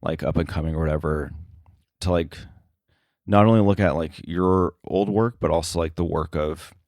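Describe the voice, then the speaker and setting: A man talking casual shop as an interview guest, halting and often circling back to rephrase himself. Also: sits low, fairly even intonation, a little mellow, no room sound, studio quality. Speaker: interview guest, sound effects editor.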